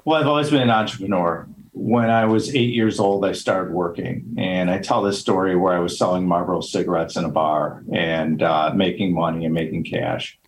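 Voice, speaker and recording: A man's voice: deep voice, steady tone, moderate pace, few pauses, slight background noise, rough recording. A middle-aged man with a Chicago accent, speaking earnestly as a podcast guest, with frequent fillers and restarts. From Chicago, United States. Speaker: podcast guest, real estate investor. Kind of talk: casual interview talk.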